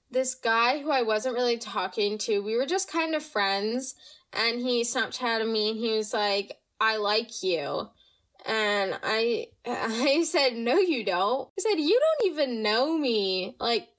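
A young woman hosting a podcast, chatting casually and reading listener stories, her voice slightly nasal from allergies. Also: on the high side. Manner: chatty, animated, reads listener stories aloud. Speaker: podcast host. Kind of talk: casual storytime chat.

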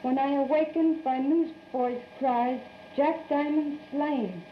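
A woman's voice: sits high, fairly even intonation, slow pace. A young woman giving stiff, rehearsed answers in a 1930s newsreel interview on a muffled, noisy soundtrack. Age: young adult. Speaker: stage performer being interviewed.

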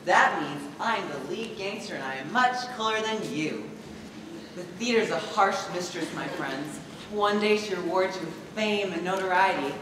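A man's voice: high voice, moderate pace, close to an everyday voice. A young man acting on stage in a school play as a teenage lead actor with a grandiose, theatrical manner. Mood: pompous. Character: pompous teenage male lead actor, Barry. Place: theatre stage, filmed from the audience. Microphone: far camera. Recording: distant, echoey, noisy theatre hall recording.

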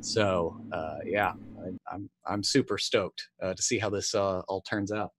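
A man's voice: low voice, fairly even intonation, measured pace. A man in his forties chatting casually as a guest player on a video call, in hesitant, stop-start phrases. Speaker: tabletop game player, guest. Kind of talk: casual chat. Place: indoor room, on a video call. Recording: clear background room noise.

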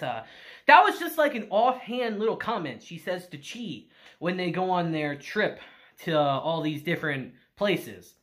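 A man in his thirties reviewing anime on camera in a small room, animated and gushing, laughing often. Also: sits high. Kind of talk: excited casual review.

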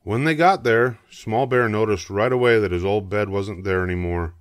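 Low-voiced older male storybook narrator. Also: moderate pace, no echo, clean studio sound. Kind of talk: scripted children's storybook narration.

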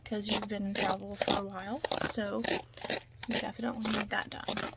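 A young woman doing a soft, breathy ASMR roleplay as a makeup artist, often slipping into a whisper, chatty and reassuring in a halting way. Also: mid-range voice, flat delivery. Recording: clear background noise.

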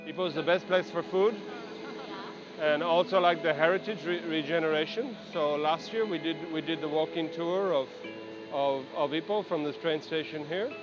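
Australian man, casual street interviewee, stop-start delivery, higher-pitched, muffled over loud music. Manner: halting, with restarts.

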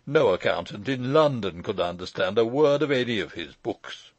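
A man's voice: on the low side, animated, normal speed, dry recording, lo-fi sound. A man voicing a pompous, fussy old uncle in an audiobook story, speaking in a formal, long-winded and pedantic way. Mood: bitter, pedantic.

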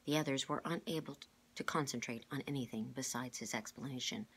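A middle-aged woman reads a children's novel aloud in a low, husky voice. She narrates like a teacher reading to a class. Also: brisk. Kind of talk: read-aloud storybook narration. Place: indoor room, classroom.

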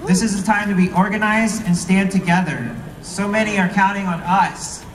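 A man delivering a prepared rally speech in an earnest, deliberate way. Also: high voice. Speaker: rally speaker. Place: outdoor rally, recorded from within the crowd. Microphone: PA heard from far camera. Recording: distant, echoey outdoor PA with noise.

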